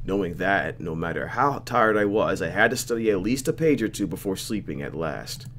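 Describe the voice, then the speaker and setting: A man's voice: sits low, a little hiss or hum. A young man doing a let's play, reading a visual novel aloud with playful, reactive commentary.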